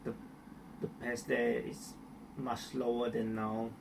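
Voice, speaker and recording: A man's voice: mid-range voice, monotone, takes it slowly, gravelly, recorded from a distance, noisy background. A young man from Malaysia with a Malaysian accent, answering interview questions in a hesitant way with many restarts and fillers.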